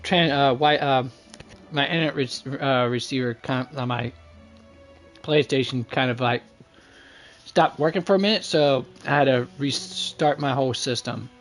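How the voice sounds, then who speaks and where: A man livestreaming a video game, chatting casually in a halting, rambling way. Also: mid-range voice, soft background music. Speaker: game streamer. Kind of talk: casual livestream chat over gameplay.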